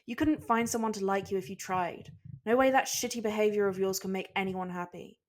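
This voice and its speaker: A woman's voice: mid-range voice, fairly even intonation, brisk, close to an everyday voice. Woman voicing a proud, showy male cook. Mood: smug. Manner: indignant, showy, mocking. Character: proud, dramatic male cook, smug romantic.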